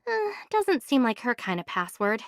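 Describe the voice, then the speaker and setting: A woman voicing a practical, inquisitive young female adventurer in an anime game, speaking in a casual, measured way. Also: fairly high pitch, big pitch swings, slight background noise. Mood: dry, casual. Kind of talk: anime video game voice acting.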